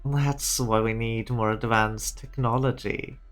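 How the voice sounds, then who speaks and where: Casting: man playing cool corporate schemer for a game fan dub, slow, measured, formal delivery. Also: medium pitch, steady tone, fairly bright tone, soft background music, close to an everyday voice. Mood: businesslike, cool. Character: cool, calculating male corporate director plotting weapons technology. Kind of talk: amateur fan dub game drama acting.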